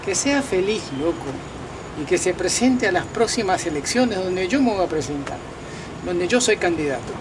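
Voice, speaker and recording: A man in his fifties from Peru, talking straight to the camera outdoors at night in a casual, slangy, animated monologue. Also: sits high, normal speed, background noise. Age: 50s. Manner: animated, talks to viewers directly with slangy asides. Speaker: vlogger and self-declared political candidate. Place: outdoors at night on a balcony over a lit city.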